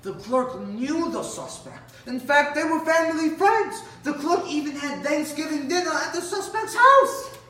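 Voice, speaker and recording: A man playing a world-weary, animated police officer telling stories from the beat in a theatrical, conversational way with dramatic pauses. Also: a little high. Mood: incredulous, amused. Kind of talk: competitive dramatic monologue performance. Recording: roomy, distant mic with faint noise.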